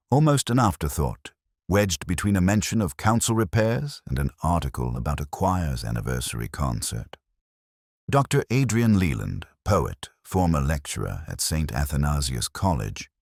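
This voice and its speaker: An extremely low-voiced, brooding police inspector played by a man narrates his case as a detective story. His phrasing is measured and literary, rising and falling expressively, in a dry, close sound. He comes across as melancholy and reflective. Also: no room sound, heavily acted. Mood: wry, brooding. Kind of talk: scripted first-person detective story narration.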